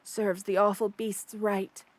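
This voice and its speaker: A woman playing a loving, protective spider queen in an ASMR roleplay, speaking tenderly and with gentle regal poise. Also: medium pitch, level tone, slow pace, natural voice. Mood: reassuring. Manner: tender, nurturing, gently regal, addresses listener with endearments. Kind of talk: ASMR roleplay, intimate character acting.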